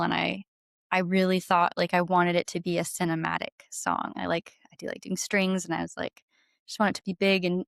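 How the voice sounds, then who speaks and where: Female, 20s, podcast guest. Slightly low pitch, dry close sound, gushing casual delivery. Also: creaky, no room sound. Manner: bubbly, gushing, frequent "like". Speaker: guest singer-songwriter and violinist. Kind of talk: casual interview chat.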